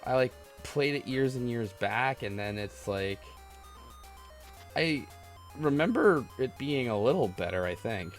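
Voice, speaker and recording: A man's voice: medium pitch, expressive, a little slow. Man, livestream host, casual gamer chat, rambling, self-deprecating, retro game music behind. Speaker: host of a gaming livestream podcast taking callers. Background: retro video game music.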